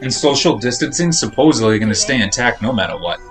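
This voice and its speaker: A man's voice: medium pitch, lively intonation, fast. A man chatting casually and informally as a game streamer, meandering from thought to thought. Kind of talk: casual rambling stream chat while playing. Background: game music.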